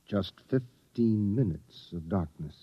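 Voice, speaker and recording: A man's voice: fairly low pitch, animated, slow, breathy edge, full character voice. An actor playing an uneasy, skeptical young man in a 1950s radio drama, hesitant and questioning. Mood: disbelieving. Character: uneasy young male visitor, skeptical and shaken. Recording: dull lo-fi old radio recording.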